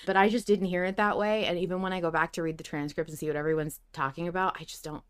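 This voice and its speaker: A woman's voice: on the low side, level tone, fast, clear, resonant tone. Blunt, sweary woman podcast co-host. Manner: blunt, playfully exasperated, swears freely. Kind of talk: casual banter on a fan discussion podcast.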